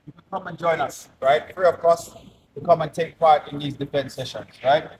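A man's voice: fairly high pitch, fairly even intonation, moderate pace, a little mellow. A man from Jamaica making an upbeat invitation to a gym class, checking in with "right?" as he talks. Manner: upbeat, checking in with tag questions. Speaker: gym organizer. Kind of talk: inviting announcement to camera. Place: large indoor gym. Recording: echoey gym, distant from mic, noisy.

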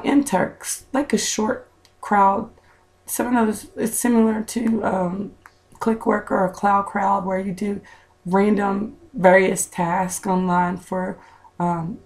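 A woman in her thirties with a raspy voice, chatting to viewers in a casual home vlog, recorded on a webcam indoors. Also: medium pitch, darkish tone, low-quality recording. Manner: chatty, direct to viewers, with fillers. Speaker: vlogger. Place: small room at home, indoors.

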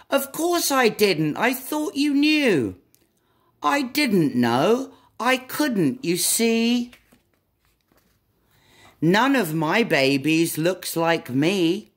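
Woman as a helpful muddled butterfly, slow lively delivery. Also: on the low side, heavily acted. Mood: flustered. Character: helpful, confident but muddled butterfly guide. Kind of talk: storybook read-aloud.

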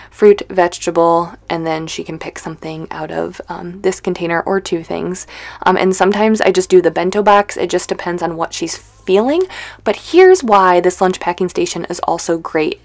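A woman's voice: on the low side, vocal fry. A woman in her thirties with an American accent, talking in a casual, conversational way like a vlogger narrating her day and recommending products. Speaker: home cleaning vlogger. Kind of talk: chatty vlog voice-over with product promotion.

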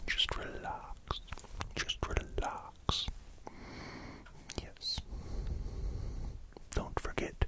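Casting: vampire dog count acted by a puppeteer, playful and softly menacing, all in hushed, slow ASMR whispers, close-miked. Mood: soothing. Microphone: close pair of microphones.

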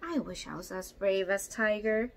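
A woman voicing a gentle, earnest, anxious female orangutan in a children's storybook. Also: medium pitch, normal speed, vocal fry, lightly acted. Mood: self-doubting.

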